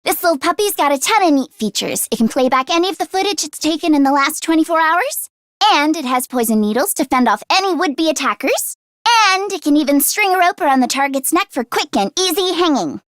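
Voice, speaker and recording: A woman voicing an excitable, earnest teenage girl inventor who gets easily flustered, in an expressive anime-style video game performance. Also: high-pitched, fairly quick, dry recording, clean studio sound. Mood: dry, grumbling. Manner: expressive, swinging from deadpan grumbling to frantic outbursts.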